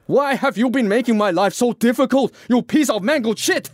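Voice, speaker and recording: A man's voice: mid-range voice, lively intonation, normal speed, a little mellow, dry recording, clean studio sound, voice pushed up, lightly acted. A man voicing a fussy, pedantic, exasperated caretaker in an audiobook story, easily wound up. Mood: shrieking fury.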